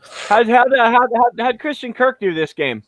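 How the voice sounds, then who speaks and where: A man co-hosting a casual sports podcast, excitable and jokey, swearing as he recaps the game. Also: extremely high pitch, unhurried, dry recording. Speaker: podcast co-host.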